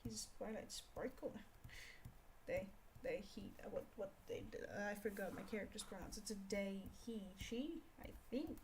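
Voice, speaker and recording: A young woman with a Scottish accent chatting casually on an art livestream, rambling and scolding herself out loud in exasperation. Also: medium pitch, normal speed, slight background noise. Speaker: art streamer. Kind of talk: casual livestream chat while drawing.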